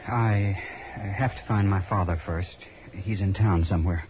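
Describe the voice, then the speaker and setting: A man's voice: low-pitched, moderate pace, close to an everyday voice. A man acting an earnest, resigned young rancher's son in a 1950s radio western, speaking politely with an American accent. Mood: worried. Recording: dull, noisy vintage radio recording.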